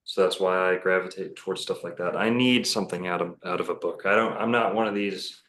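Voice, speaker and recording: A man's voice: low-pitched, fairly even intonation, a little fast, fairly bright tone, reverberant, a little hiss or hum. A man talking casually as a podcast guest, rambling and self-assured with many fillers and restarts. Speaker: podcast guest, bookstore owner.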